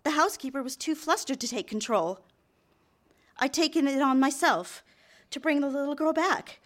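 An actress playing an eager, apologetic young secretary and secret mistress in a live radio drama, confiding and deferential. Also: female voice, high voice, normal speed, natural voice. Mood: confessional, rueful. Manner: deferential, hesitant in dialogue, confiding in narration. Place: live on stage in a theater.

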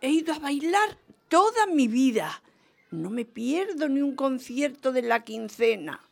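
A very old, lively woman calling a radio show in a comic radio play. Her voice is high and heavily creaky. She rambles and gushes at an unhurried, slow pace. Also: exaggerated voice. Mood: gushing, chatty. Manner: rambling, gushing, oversharing. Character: chatty, lively 92-year-old widowed former nun and teacher. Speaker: quiz show caller. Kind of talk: comic radio theatre acting.

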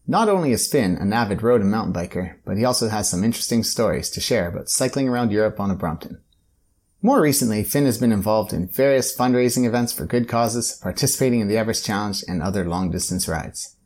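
A man hosting a cycling podcast, reading a relaxed intro and then chatting easily with his guest. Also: lowish voice, quick pace. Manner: friendly and easygoing, with short backchannel replies. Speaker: podcast host. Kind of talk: podcast interview.

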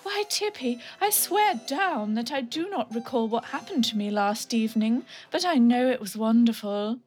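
A woman voicing a prim young English lady of society, in a high, genteel voice. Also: expressive, normal speed, darkish tone, natural voice. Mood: giddy.

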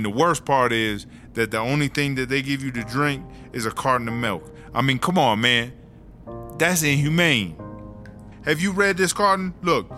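A man's voice: medium pitch, sharp tone, lightly acted. Man playing a brash streetwise woman prisoner over hip hop. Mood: indignant, boastful. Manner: slangy, indignant, rambling storytelling. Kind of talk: comedic audio drama monologue. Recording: hip hop music behind the voice.

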